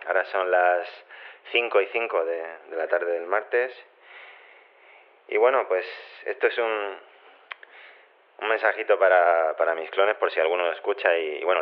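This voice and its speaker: Actor as low-voiced, halting lonely man on voicemail. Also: natural voice. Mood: awkwardly hopeful. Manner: halting, rambling, self-effacing. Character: lonely, hesitant male cloning scientist, meekly pleading for company. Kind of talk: voicemail message in an audio fiction. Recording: muffled narrow-band phone voicemail.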